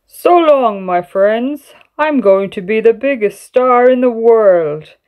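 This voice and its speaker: A woman's voice: a little high, slow pace, narrow, tinny audio. A woman voicing a small, boastful, eager male strongman flea in a children's storybook. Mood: proud farewell. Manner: boastful, excitable. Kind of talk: children's storybook character reading.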